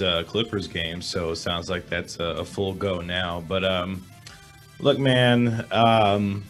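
A hoarse, low-voiced middle-aged man talks sports as a guest. He joins by video call, with a desk mic close to his mouth. His analysis is measured, and faint music plays behind him. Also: fairly bright tone. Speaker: guest sports radio reporter. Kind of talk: remote sports talk interview. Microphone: webcam with desk microphone, video call.

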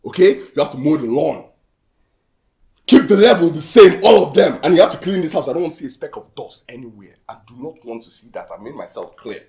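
Callous, domineering Nigerian male employer played by a man, animated and blustering, echoey room with music behind, film drama. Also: medium pitch, fairly quick, few pauses, lightly acted. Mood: stern, bossy. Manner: blustering, repeats himself insistently.

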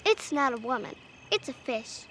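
A woman's voice: high voice, big pitch swings, normal speed, pushed and bright, slight background noise, heavily acted. A young actress playing a curious, matter-of-fact eight-year-old girl. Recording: film soundtrack with music.

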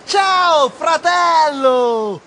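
A man putting on a booming jolly mouse castaway. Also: a bit bright, a little hiss or hum. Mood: hearty. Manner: booming, chuckling, drawn-out words. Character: loud jolly male mouse, hearty castaway.

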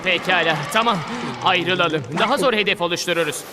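A man's voice: high voice, brisk, recorded from a distance, lightly acted. A man voicing a brave teenage boy who leads an escape in a cartoon dub. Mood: urgent, commanding. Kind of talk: animated series dub acting. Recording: music and action effects behind voice.

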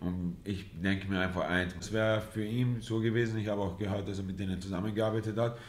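A man's voice: on the low side, fairly even intonation, a little fast. A young man from Vienna, telling his story casually as an interview guest. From Vienna, Austria. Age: young adult. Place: small indoor studio lounge. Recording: echoey room, faint noise.

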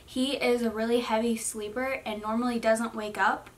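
A woman's voice: fairly high pitch, little pitch movement, moderate pace, a little hiss or hum. A young woman in her twenties chatting casually in a vlog from her bedroom, rambling with many fillers. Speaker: vlogger. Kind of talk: casual pregnancy update vlog. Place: bedroom, seated on bed.

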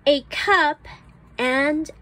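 A woman reading an early phonics book aloud for children as a teacher, enunciating each word deliberately and carefully. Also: sits high, a bit bright. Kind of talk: slow read-aloud of an early reader for children.

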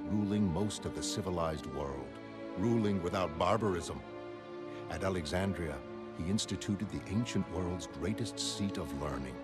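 A man playing a deep-voiced, lofty genius magnate. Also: natural voice. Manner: measured, erudite, lofty, formal diction. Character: wealthy, brilliant, self-assured male visionary recounting his life. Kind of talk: audiobook-like dramatic monologue in a motion comic. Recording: studio voice over prominent music.

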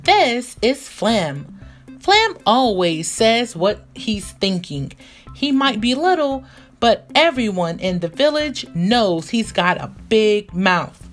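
A woman reading a picture book aloud for young children, expressive and deliberate in her storytelling. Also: mid-range voice. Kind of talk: animated read-aloud narration for young children. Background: music.

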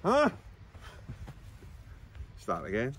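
A man's voice: medium pitch, wildly swooping pitch, extremely slow, a bit bright. A man in his fifties from Scotland, an author reading narrative prose aloud from his own book, outdoors. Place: outdoors by a seafront concrete wall. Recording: outdoor recording with background noise.

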